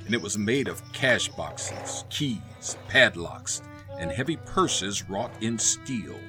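A man's voice: lowish voice, animated, takes it slowly. A man narrating a radio play as a dramatic storyteller, theatrical and suspenseful. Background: music.

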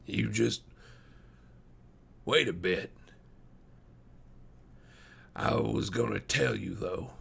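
Man acting a dying frontier wanderer, gravelly, weary, gentle, halting rustic speech, storybook character. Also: on the low side, full character voice. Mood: halting, resigned. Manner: halting, folksy, uneducated speech.

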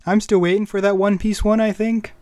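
A man's voice: high-pitched, flat delivery, fairly quick, darkish tone. A man hosting a casual podcast, chatting informally and a little hesitant when he improvises. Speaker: podcast host.

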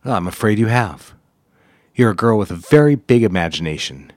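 Cold, low-voiced stern boss played by an actor. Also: male voice, animated, fairly quick, no room sound, clean studio sound, close to an everyday voice. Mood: cold, scornful. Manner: curt, condescending, formal. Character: cold, stern advertising executive, cruel boss. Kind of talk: dramatic audio drama acting.

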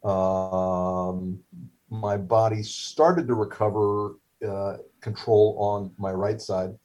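A man's voice: on the low side, little pitch movement, takes it slowly, a little mellow. A middle-aged man whose first language is Hebrew, telling a story casually with many fillers, restarts and wry humor. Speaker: guest, stroke survivor.

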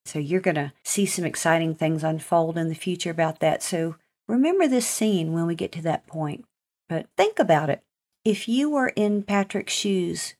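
An American woman hosting a life-lesson talk for children, speaking in an earnest, encouraging and emphatic way. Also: on the low side. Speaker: author and segment host.

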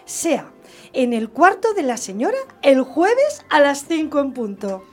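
A woman playing a demure, quietly scheming young maid in radio theatre, coy and deferential. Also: high voice, very animated, measured pace, soft background music. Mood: obliging, assured.

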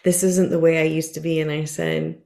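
A woman's voice: low-pitched, fairly quick, creaky, echoey room. Chatty woman in her fifties. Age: 50s. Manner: rambling, self-deprecating, animated when quoting herself. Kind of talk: casual chat.

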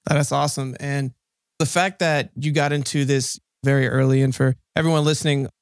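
A man's voice: medium pitch, steady tone, moderate pace, clean tone, no room sound, clean studio sound. A man hosting a podcast, talking in a casual, upbeat interviewer's style. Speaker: podcast host.

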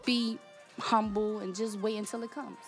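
A woman's voice: medium pitch, steady tone, moderate pace, soft background music. A young woman from Portugal whose first language is Portuguese, a singer chatting hesitantly as a radio guest with many fillers. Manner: soft-spoken, hesitant, many fillers and laughs. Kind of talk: casual interview chat.

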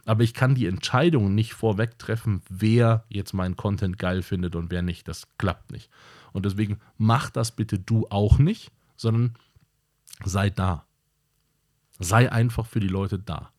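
A man's voice: lowish voice, a little mellow. A man hosting his own podcast, talking in a casual monologue that is blunt and direct and repeats points for emphasis. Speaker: podcast host.

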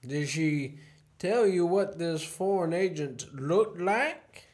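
A man acting in a student radio play as a courteous, menacing gentleman professor who is secretly a spy chief. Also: fairly high pitch, lively intonation, slow. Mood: coolly probing. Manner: courteous, genteel, theatrically menacing.

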